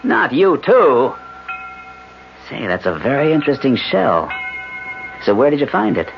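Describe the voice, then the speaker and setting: A man's voice: mid-range voice, huge pitch swings, unhurried, vocal fry, full character voice. An actor playing a skeptical, exasperated husband and father in a radio drama, firm and dismissive. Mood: surprised, dismissive. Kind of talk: 1970s radio drama acting. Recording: muffled 1970s radio recording, faint music.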